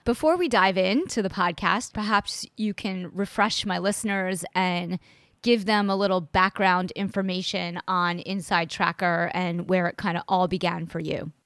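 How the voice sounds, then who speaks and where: A woman's voice: fairly low pitch, steady tone, normal speed, fairly bright tone, dry recording, studio quality. A woman hosting a podcast interview, enthusiastic and conversational. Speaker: podcast host.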